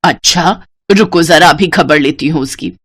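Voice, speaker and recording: A woman's voice: deep voice, unhurried, fairly bright tone, breathy edge, voice pushed down, heavily acted. A woman voicing a middle-aged mother-in-law in a moral story, bossy, nagging and easily fooled. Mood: scolding. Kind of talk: acted dialogue in a Hindi moral story.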